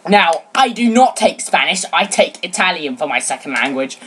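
A teenage girl whose first language is French, chatting excitably and rambling while playing a video game, with sudden shouts. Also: on the low side, moderate pace, a little hiss or hum. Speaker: let's play gamer. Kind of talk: casual game commentary. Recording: loud game music under voice.

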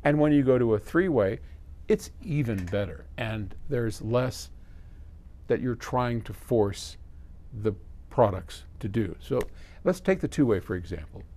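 A man's voice: medium pitch, lively intonation, measured pace, dark tone. An American man in his seventies casually explaining to camera, conversationally answering a question with simple examples and asides. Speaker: audio company presenter answering viewer questions. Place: small indoor electronics workshop.

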